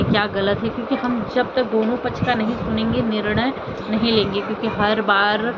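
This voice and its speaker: Woman vlogging over loud street noise, muffled sound. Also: mid-range voice. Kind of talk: casual vlog commentary on family drama. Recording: muffled audio with loud outdoor traffic noise.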